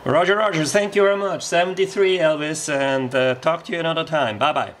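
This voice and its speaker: A man's voice: on the high side, fairly quick, fairly bright tone. A man making a casual amateur radio contact, speaking politely and using radio procedure words.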